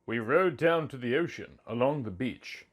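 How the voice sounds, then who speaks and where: Man playing a lovestruck young bride, theatrical swings in pitch. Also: lively intonation, moderate pace, lightly acted. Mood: dreamy, contented. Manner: swooning, overwrought. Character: young woman narrating her honeymoon, breathy, lovestruck. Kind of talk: melodramatic romantic fan fiction reading.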